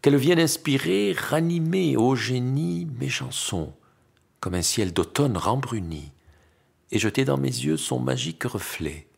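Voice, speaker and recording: A man reciting literary poetry in an expressive, theatrical, declamatory manner with dramatic pauses and a husky, gravelly voice. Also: medium pitch. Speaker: poetry reader.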